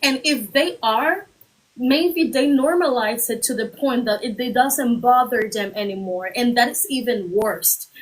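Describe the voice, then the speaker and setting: Woman arguing steadily, slightly high voice. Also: low-quality recording. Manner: steady, deliberate, builds points by repetition. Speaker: livestream guest. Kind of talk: earnest argument on a livestream.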